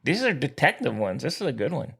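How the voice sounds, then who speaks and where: A man's voice: medium pitch, big pitch swings, a little fast, vocal fry, fairly bright tone, breathy edge. A man co-hosting a casual podcast, chatting in an enthusiastic, jokey manner. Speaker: podcast co-host. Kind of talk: casual sports and pop culture chat.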